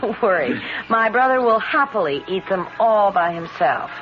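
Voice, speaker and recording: An actress playing a friendly, chatty, wistful sister in a radio drama, with a natural, teasing manner. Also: female voice, medium pitch, lively intonation, moderate pace, music in the background, close to an everyday voice. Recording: muffled radio drama recording with loud noise.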